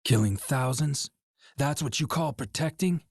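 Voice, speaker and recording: A man's voice: mid-range voice, unhurried, slightly rough, dry recording, studio quality. An actor playing an angry, impatient young male warrior with a confrontational manner in a game cutscene. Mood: defiant. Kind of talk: dramatic game cutscene acting.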